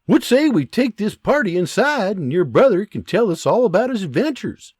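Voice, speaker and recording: A man voicing a warm, joking farmer father in an audiobook, with a hearty teasing manner. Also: high voice, big pitch swings, moderate pace, slightly rough, no echo, studio quality, voice pushed up, exaggerated voice. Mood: sheepish.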